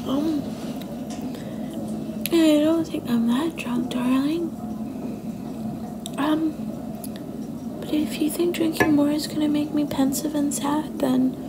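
A sweet young girlfriend voiced by a woman in an intimate ASMR date roleplay, speaking softly in a high voice that drifts into whispers. She is affectionate, coy and a little unsure of herself. Clear ambient noise sits behind her. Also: fairly even intonation, takes it slowly, long pauses. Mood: shy, self-doubting. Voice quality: soft, often slipping into breathy whispers. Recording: noticeable background noise and ambience.

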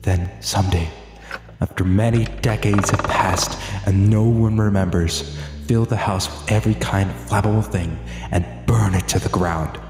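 A man playing a grieving, tormented father, reading out a letter in a solemn, deliberate and formal way. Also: sits low, fairly even intonation. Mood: grim resolve. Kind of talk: dramatic letter narration. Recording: echoey long reverb over music.

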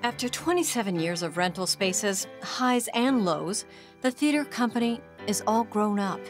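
A woman giving a measured, scripted documentary narration. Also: mid-range voice, animated, soft background music. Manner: smooth, measured.

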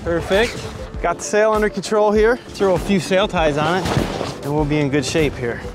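Cheerful sailing vlogger, a man with a higher-than-average voice, talking his crew through tasks on deck. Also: moderate pace, music in the background. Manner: upbeat, encouraging, narrates each task. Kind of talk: casual narration while sailing. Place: outdoors on a sailboat.

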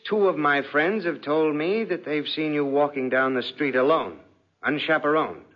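Casting: man to play a suspicious lordly husband, silkily polite and menacing, husky, in muffled vintage radio drama. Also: on the high side, natural voice. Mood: veiled menace. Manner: silky, deliberate, insinuating politeness. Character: suave, suspicious, menacing husband, an aristocratic lord. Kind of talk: 1940s radio acting. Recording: dull, muffled 1940s radio recording.

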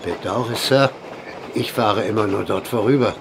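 An actor playing a courteous, dry-humored train conductor, formal and deferential, in a German audio drama. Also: male voice, unhurried. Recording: clear train rumble behind voice.

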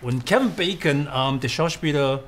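Ein taiwanischer Mann in seinen Vierzigern spricht im Interview, bricht Sätze ab und setzt neu an. Aufgenommen in einem kleinen Restaurant, mit leichtem Hintergrundrauschen. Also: male voice, fairly high pitch, expressive, moderate pace, fairly bright tone, slight background noise. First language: Mandarin. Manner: hesitant, many fillers and restarts. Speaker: writer. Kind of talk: documentary interview. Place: small restaurant indoors.

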